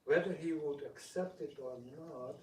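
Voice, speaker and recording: A man's voice: on the high side, moderate pace, lightly acted. A man playing a gracious, thoughtful grandfather king, mild and musing, in a theatrical reading. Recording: echoey room, distant mic, background noise.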